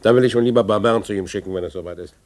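A man's voice: fairly low pitch, racing, a little mellow, close to an everyday voice. An actor playing a sly, self-satisfied older businessman with feigned geniality in a 1950s German radio play. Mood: smug, insinuating.

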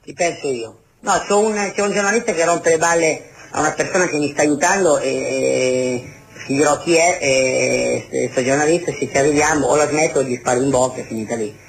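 A man's voice: on the high side, a little fast, creaky. A man talking bluntly in an informal phone conversation, repeating and restarting his phrases. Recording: noisy wiretapped phone call with clicks.

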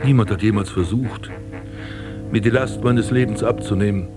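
An actor playing an embittered, melancholy aristocratic prince in a German radio drama, with theatrical, eloquent delivery. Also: male voice, on the low side, normal speed, over music, close to an everyday voice. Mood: bitter, sorrowful. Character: embittered, melancholy aristocratic prince recalling his wasted life and burial.

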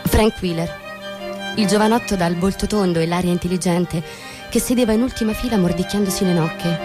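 An actress playing an ironic suburban housewife who narrates a literary stage drama in an expressive, theatrical way. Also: female voice, fairly low pitch, fairly quick, lightly acted. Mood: coy, observant. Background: music with violin.

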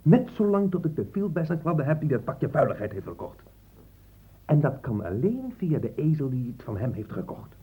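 Stern police inspector, radio play, very husky, animated delivery, short-tempered, condescending, tinny old recording. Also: male voice, on the high side, moderate pace, exaggerated voice. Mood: menacing. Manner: blustering, threatening, condescending. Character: stern, impatient male police inspector, easily angered. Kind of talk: radio play acting in Dutch.

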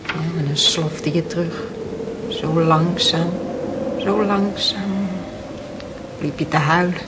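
A woman's voice: medium pitch, close to an everyday voice. An actress playing a sorrowful, twice-widowed fisherman's wife in a radio drama, telling her story in plain, emotional words. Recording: noisy old radio play recording.

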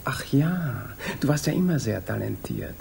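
Suave young man, heavy vocal fry, dark tone, nonchalant, condescending, 1950s radio drama. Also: medium pitch, expressive, moderate pace. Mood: teasing, condescending. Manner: light, nonchalant, chatty. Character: urbane, breezy, condescending young violinist, old flame. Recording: faintly noisy 1950s radio recording.

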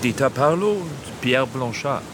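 Actor as musing film director, noisy scene. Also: male voice, mid-range voice, lively intonation, measured pace, close to an everyday voice. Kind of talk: radio drama acting.

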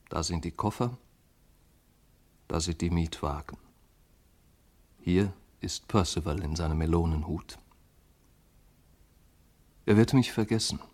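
An actor playing a sensitive, lovelorn young poet in a literary radio drama, formal and fervent. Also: male voice, sits low, expressive, takes it slowly, long pauses, heavily acted. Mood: yearning, pained.

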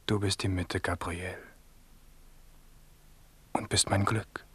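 A man's voice: low voice, flat delivery, takes it slowly, bright, forceful tone, slight background noise, full character voice. An actor playing an ardent, weary but resolute French king in a German radio drama. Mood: tender, hushed.

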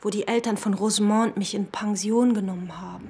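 A woman's voice: mid-range voice, steady tone, brisk, lightly acted. Actress as sulky young woman, noisy ambience. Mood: sulky, bored. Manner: sulky, complaining. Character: moody, bored young woman on seaside holiday. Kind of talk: literary radio drama acting.

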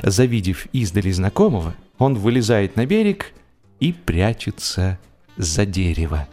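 A man narrating humorous literary prose in an expressive, measured storytelling manner with deliberate pauses. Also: lowish voice. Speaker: audiobook narrator. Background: music.

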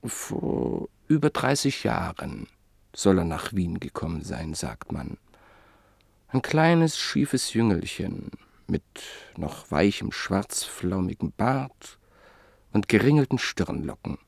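A man narrating a classic literary radio play in a measured, reflective way that sometimes sinks to a near whisper. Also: low voice, sing-song, creaky, breathy edge, no echo.

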